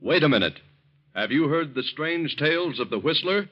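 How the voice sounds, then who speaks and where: A man's voice: on the high side, animated, moderate pace. A man announcing formally for a radio network in 1940s broadcast style. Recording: dull vintage radio recording, loud music.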